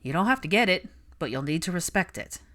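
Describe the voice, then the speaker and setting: A woman's voice: lowish voice, sing-song, fast, fairly bright tone. A woman reader playing an easygoing young husband in a storybook. He sounds mild and a little puzzled, reasonable in the way he puts things. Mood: firm, mild.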